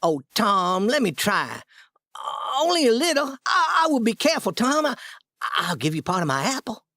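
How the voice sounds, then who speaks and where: A man voicing an eager, boastful young boy in a storybook reading, with a high excited boyish voice. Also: moderate pace, no echo, exaggerated voice. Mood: pleading.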